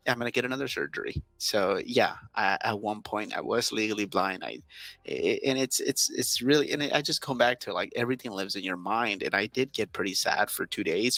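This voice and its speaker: A man's voice: on the low side, pushed and bright, no room sound, poor audio. A man in his thirties, a native Spanish speaker from Southern California, talking earnestly as an interview guest, with many restarts and fillers. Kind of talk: casual interview talk.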